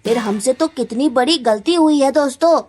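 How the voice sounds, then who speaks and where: A woman's voice: sits high, normal speed, a little mellow, slight background noise, lightly acted. A woman voicing an earnest, anxious young schoolchild in a children's cartoon. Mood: worried, guilty.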